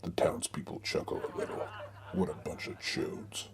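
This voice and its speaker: A man reading scripted comic narration for an audio drama in a deadpan way. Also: moderate pace, a little hiss or hum.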